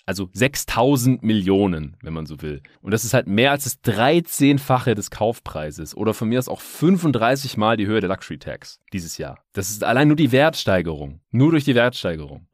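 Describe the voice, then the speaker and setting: A man's voice: fairly low pitch, big pitch swings, normal speed, few pauses, no room sound, studio quality. A man hosting a basketball podcast in casual talk, explaining things colloquially with English sports jargon mixed in.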